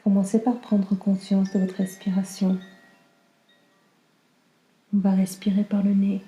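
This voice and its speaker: A woman guiding a slow relaxation meditation in a calm, soothing, soft and breathy voice that often nears a whisper. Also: medium pitch, reverberant. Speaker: yoga nidra guide.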